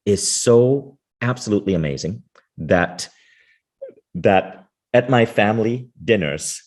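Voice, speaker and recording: A man's voice: lowish voice, a little mellow. A man hosting a podcast, introducing his guest with enthusiastic, effusive praise. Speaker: podcast host. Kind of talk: podcast interview.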